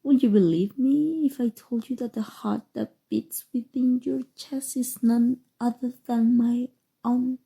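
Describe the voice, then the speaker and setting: A woman playing a calm, seductive, obsessive evil sorcerer in an intimate, coaxing ASMR roleplay, with dramatic pauses. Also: on the high side, gravelly, slightly rough, full character voice. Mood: smug, explaining. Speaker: voice actor. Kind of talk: ASMR roleplay, scripted acting.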